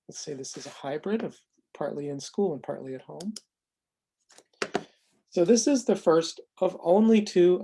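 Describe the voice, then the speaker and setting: A mid-pitched presenter with a soft-edged, mellow voice narrates a tool demonstration. The delivery is measured and explanatory, with frequent pauses partway through sentences. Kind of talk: semi-scripted explanatory demo narration.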